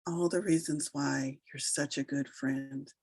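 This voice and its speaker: Low-voiced woman guiding a meditation. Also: fairly even intonation, a little mellow, slightly rough, dry recording. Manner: soothing, unhurried instruction with long pauses. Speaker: meditation guide. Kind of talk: slow guided meditation narration.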